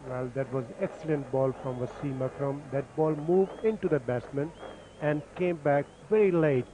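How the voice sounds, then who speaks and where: A man calmly explaining each delivery as the expert summariser on a cricket broadcast. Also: on the high side, normal speed, slight background noise, rough recording. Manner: calm, explains deliveries technically. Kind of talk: analytical commentary.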